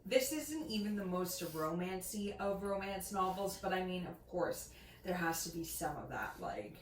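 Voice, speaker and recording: A woman's voice: on the low side, animated, moderate pace. A woman co-hosting a book channel, talking in a casual, chatty style. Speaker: co-host of a book review channel. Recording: echoey room with faint background noise.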